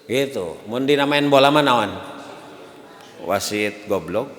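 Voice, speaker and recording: A man with a Sundanese accent giving a lively, joking mosque lesson, chanting Arabic verses slowly between his explanations. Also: mid-range voice. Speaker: ustadz teaching a mosque study class. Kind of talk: sermon. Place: large mosque hall. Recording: echoey mosque hall.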